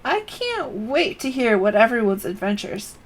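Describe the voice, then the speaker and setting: A fiery young fighter woman who talks in quick, excited bursts, read out by a woman. The lines come loud and eager, with pitch lifting a little above her plain talk. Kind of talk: reading game dialogue aloud.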